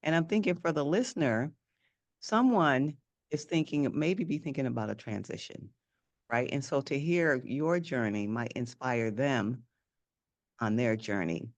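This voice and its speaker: A woman's voice: extremely low pitch, measured pace, lo-fi sound. A woman hosting a podcast interview, friendly, often restarting and rephrasing her questions. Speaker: podcast host.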